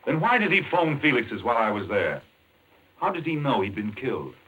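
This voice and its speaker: An actor playing an earnest, indignant doctor in a 1940s radio mystery, with urgent, clipped, demanding delivery. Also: male voice, medium pitch, sing-song, a little fast, breathy edge, narrow, tinny audio. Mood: accusing. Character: earnest, indignant male doctor caught up in murder.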